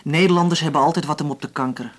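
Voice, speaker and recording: An actor playing an easygoing young male activist in a naturalistic radio drama, calmly persuasive. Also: fairly high pitch, racing, lightly acted. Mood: reflective.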